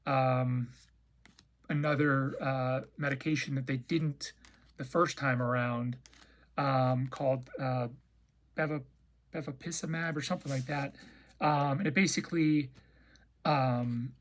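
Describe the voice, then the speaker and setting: Raspy-voiced man chatting casually. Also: mid-range voice, steady tone, unhurried, long pauses. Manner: rambling, hesitant, lots of fillers and restarts. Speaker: vlogger. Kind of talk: casual personal update chat.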